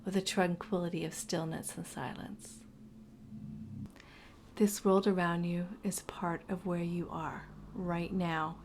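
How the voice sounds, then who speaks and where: A woman narrating a guided meditation slowly and soothingly, her breathy voice often dropping toward a whisper. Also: lowish voice, a little mellow, a little hiss or hum.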